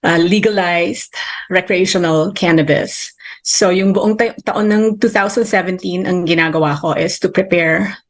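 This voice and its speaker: A woman's voice: on the low side, fairly even intonation, moderate pace. A woman with a Filipino accent answering interview questions over a video call, switching between Tagalog and English mid-sentence. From Philippines. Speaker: guest on a call.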